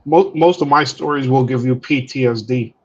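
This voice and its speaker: A man with a mid-pitched voice talks casually on a live stream, easygoing and often restarting his phrases. His audio sounds dull and lo-fi. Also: normal speed, slightly rough. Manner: laid-back, repeats and restarts words.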